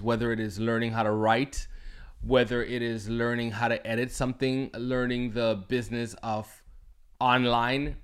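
A man in his forties from Canada talks casually as a co-host. His voice sits at a middle pitch, and his delivery is measured. He often stops on fillers while he hunts for the right words. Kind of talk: casual conversation.

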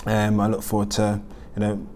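Male host, low monotone delivery, hesitant with many fillers. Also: normal speed. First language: not German. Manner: hesitant, many ums and uhs. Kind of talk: weekly news roundup vlog.

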